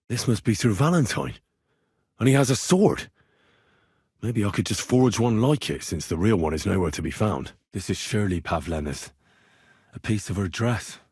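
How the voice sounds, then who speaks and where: A husky, blunt young medieval squire. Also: male voice, on the low side, dry recording, studio quality, exaggerated voice. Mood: pondering. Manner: plain-spoken, earthy, blunt. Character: earnest, hot-headed young medieval squire on a quest. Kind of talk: video game character voice lines.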